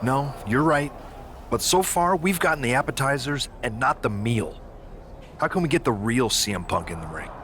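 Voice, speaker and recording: An actor as a stern, mid-pitched wrestling boss. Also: male voice, expressive, moderate pace, fairly bright tone, slight background noise, lightly acted. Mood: pragmatic. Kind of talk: video game cutscene acting.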